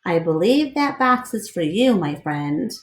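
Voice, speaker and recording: Storybook sidekick: wry, casual little male dog, loyal, lively intonation, done by a woman reader, roomy recording. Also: lowish voice, unhurried.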